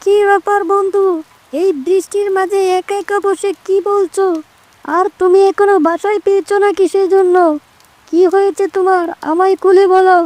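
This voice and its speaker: A woman's voice: very high-pitched, level tone, moderate pace, a little mellow, no echo. A woman voicing small female characters in a children's cartoon, such as a fairy or a little bird. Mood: concerned.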